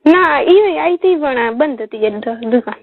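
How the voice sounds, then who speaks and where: A woman with a Marwari accent speaks in a high-pitched voice through a muffled, lo-fi phone recording. Her talk is casual and private, made of short replies and repeated questions. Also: lively intonation, measured pace, dry recording. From Rajasthan, India. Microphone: telephone.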